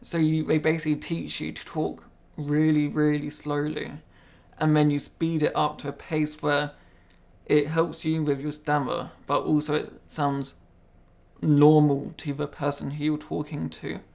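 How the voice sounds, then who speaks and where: Young man with a stammer, gravelly voice. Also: fairly high pitch, monotone, a little mellow. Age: young adult. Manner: stammers, repeats words, speaks slowly and carefully. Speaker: interviewee, apprentice. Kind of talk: interview conversation.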